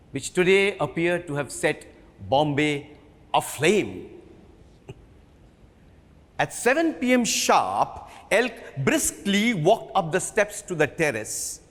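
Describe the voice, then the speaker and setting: A man with an Indian accent giving a theatrical, measured dramatic reading of a biography in a hall. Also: a little high. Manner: theatrical, measured storytelling delivery. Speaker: theatre performer reading at a book event. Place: live reading in an event hall. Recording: reverberant hall.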